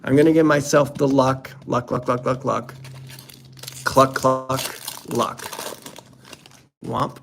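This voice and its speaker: A man's voice: medium pitch, steady tone, slow, vocal fry, pauses often, background noise. A man hosting a casual unboxing commentary, talking in a playful, jokey, exclamatory way. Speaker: host opening card packs.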